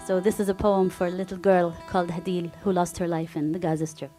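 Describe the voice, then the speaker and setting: A woman's voice: on the low side, little pitch movement, quick pace, loud music behind the voice. A woman with an Arabic accent performing spoken word poetry on a live stage, rhythmic and repetitive, building to fierce intensity. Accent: Arabic-accented English. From Palestinian family, refugee camp.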